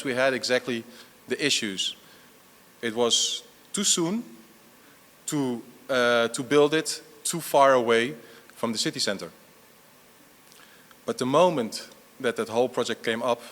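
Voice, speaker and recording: A man's voice: medium pitch, a bit bright, in a large hall. A middle-aged man, half Dutch and half Portuguese, answering an audience question at a conference, rambling with many fillers and restarts. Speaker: conference speaker.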